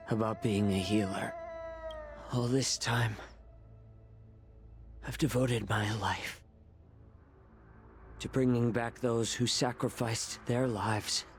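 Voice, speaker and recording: A man playing a weary, anguished fox-folk healer in a video game, speaking in a sorrowful, bitterly defiant dramatic monologue. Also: medium pitch. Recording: background music under dialogue. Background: game music.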